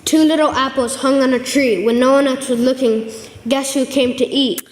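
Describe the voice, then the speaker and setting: A woman recites a children's rhyme aloud in a high voice. The recording carries the long reverb of a hall. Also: steady tone, normal speed. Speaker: poetry reader.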